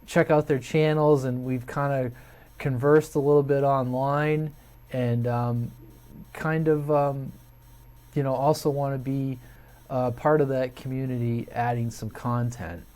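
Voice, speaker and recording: A man's voice: mid-range voice, little pitch movement, a little slow, darkish tone. An American man hosting a hobby video channel, talking casually and unscripted to viewers in a hesitant, rambling way, thinking aloud.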